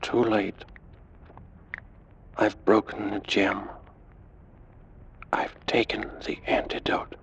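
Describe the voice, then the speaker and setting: Male as a millennia-old Egyptian priest: low, monotone, formal, world-weary, vintage radio drama. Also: in a whisper, dull audio. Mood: fatal resignation. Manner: formal, slow, deliberate. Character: weary 3,500-year-old Egyptian priest, longing for death. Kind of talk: 1940s radio acting. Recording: vintage radio recording with background noise.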